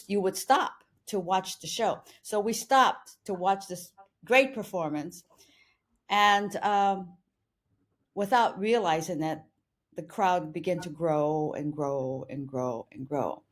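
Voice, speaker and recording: A woman co-hosting a podcast, telling a personal story in an earnest, steady way. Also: on the low side, measured pace. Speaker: podcast co-host.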